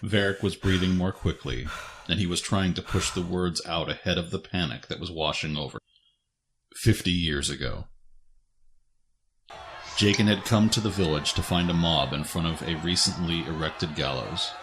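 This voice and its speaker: Deep-voiced man narrating a dramatic story. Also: moderate pace, a bit bright, a little hiss or hum. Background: faint music.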